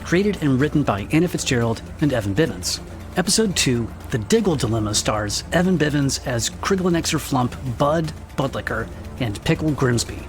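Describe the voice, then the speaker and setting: A man's voice: mid-range voice, lively intonation, moderate pace, faint music behind. A man reading scripted credits as the announcer of an audio drama.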